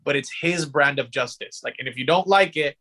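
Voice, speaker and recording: A man's voice: fairly high pitch, fairly quick, bright, forceful tone. A man co-hosting a podcast in casual discussion, speaking emphatically and often repeating his words and phrases. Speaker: podcast co-host. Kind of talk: casual pop culture discussion.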